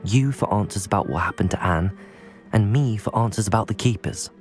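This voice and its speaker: A man voicing an earnest, measured teenage schoolboy wizard in scripted video game dialogue. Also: on the low side, soft background music, close to an everyday voice. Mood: measured, curious. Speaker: player character in a video game.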